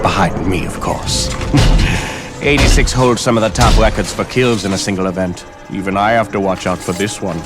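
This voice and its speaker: An actor playing a menacing, mocking villain racer in an animated game, taunting and boastful. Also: male voice, on the low side. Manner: taunting, gloating.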